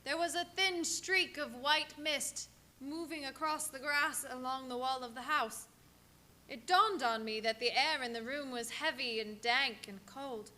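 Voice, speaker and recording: Actress as haunted young woman, high-pitched, bright, suspenseful, dramatic, radio play. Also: unhurried. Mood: eerie, suspenseful. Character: haunted, entranced young wife writing a journal. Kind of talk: live radio play acting.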